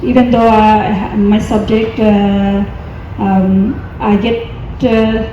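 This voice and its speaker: A woman from Bangladesh answers panel questions about her poetry, slow and mellow, pausing often with fillers. Her voice rings through a big echoey hall, dull and lo-fi. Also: mid-range voice, little pitch movement, slight background noise. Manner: hesitant, many fillers. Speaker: poet, panel guest.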